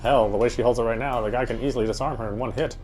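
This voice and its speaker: A man's voice: medium pitch, fairly even intonation, racing, darkish tone, a little hiss or hum. A man reading a horror visual novel aloud as first-person narrator, with a dramatic, tense delivery.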